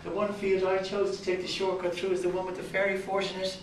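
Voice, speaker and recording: A man with an Irish accent voicing a kind, humble hunchbacked man who talks high, breathless and excited. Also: fast, crowd noise, close to an everyday voice. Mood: alarmed. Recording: roomy, distant mic, background noise.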